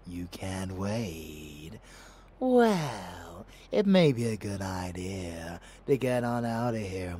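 Slow, deep vampire lover played by a man. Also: warm tone, a little hiss or hum, exaggerated voice. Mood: confident, amused.

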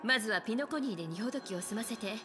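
A woman's voice: fairly high pitch, lively intonation, racing, music in the background, lightly acted. A woman playing a poised, confident, diplomatic lady in a Japanese video game, with politely feminine phrasing.

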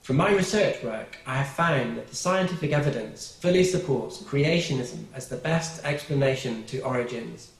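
A man's voice: medium pitch, normal speed, creaky, few pauses, roomy sound, low-quality recording. A man speaking as an engineering professor in a formal, precise video statement. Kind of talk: scripted video testimony.

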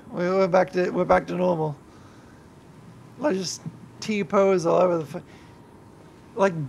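A drunk young man rambling and swearing through a gameplay livestream, yelling at the game now and then. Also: sits high, vocal fry, a little hiss or hum. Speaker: YouTuber and streamer.

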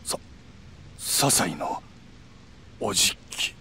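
A man's voice: extremely slow, sharp tone, slight background noise. An actor playing a hot-headed, suspicious young yakuza man in a video game, speaking bluntly with a Kansai accent. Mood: alarmed, incredulous. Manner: blunt, rough, questioning. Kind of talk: Japanese video game cutscene acting.

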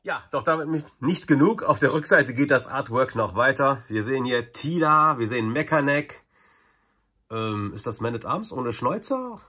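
A man in his forties, chatty and enthusiastic, talking casually through an unboxing review with a slightly husky voice. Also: medium pitch, animated, normal speed, bright, forceful tone. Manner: chatty, enthusiastic fan talk. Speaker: video reviewer.

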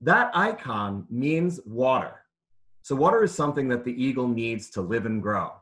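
A man's voice: mid-range voice, animated, moderate pace, a bit bright. A man reading aloud to children as a teacher would, in simple phrases, putting questions to his listeners. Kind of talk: interactive children's read-aloud lesson.

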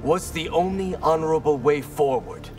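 A man as a high-pitched, resolute ninja. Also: normal speed, natural voice. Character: principled, determined ninja second in command. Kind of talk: video game character dialogue. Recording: music behind the voice.

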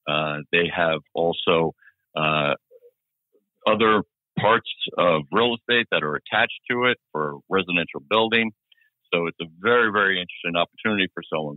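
A man pitching a business listing as a guest, halting with many fillers. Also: no room sound. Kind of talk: sales pitch. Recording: phone line.

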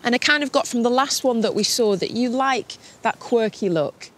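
A woman's voice: on the high side, expressive, moderate pace. A young woman with a British accent presenting a property tour, bubbly and teasing as she walks the buyers around. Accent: British English. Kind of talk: presenter walkthrough. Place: outdoors at a rural property. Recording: light music under speech.

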